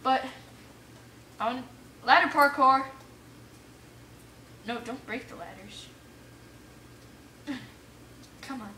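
A bright, sharp-voiced woman narrates her own gameplay. She talks casually and excitably, exclaiming and muttering to herself. The sound is roomy, picked up some way from the mic. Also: high-pitched, drawn-out words, long pauses. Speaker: gamer playing an adventure map for viewers. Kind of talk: casual let's play commentary. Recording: roomy echo, distant mic, faint noise.

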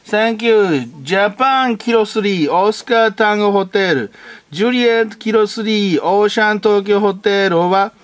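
A man's voice: high voice, quick pace. A man with a Japanese accent, a native Japanese speaker, chatting over amateur radio as an operator. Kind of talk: ham radio contact chat. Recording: noisy room with radio hiss.

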